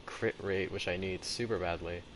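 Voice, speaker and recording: A man's voice: deep voice, steady tone, normal speed, very noisy. A man giving casual, rambling gameplay commentary, hesitant and often trailing off mid-thought. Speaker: gaming video maker. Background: game music.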